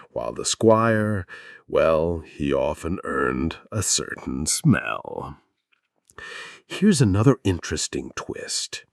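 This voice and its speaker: A man narrating scripted history in a measured storytelling way, with dramatic pauses and wry asides. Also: lowish voice, a little mellow, dry recording, clean studio sound.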